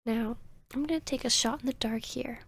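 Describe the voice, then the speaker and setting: A woman playing a calm, soothing vampire therapist in an ASMR roleplay, speaking softly and gently in a breathy, measured, near-whispering way. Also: a little high. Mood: probing, gentle. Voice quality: breathy, soft. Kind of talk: ASMR roleplay, soft-spoken to the listener.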